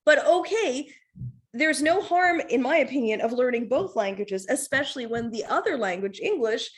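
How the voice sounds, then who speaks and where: A woman's voice: high-pitched, normal speed. A woman, a podcast guest and comedian, talking casually and rambling with restarts and frequent laughter. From North America. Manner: rambling, animated, laughs often, many restarts. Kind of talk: casual conversation.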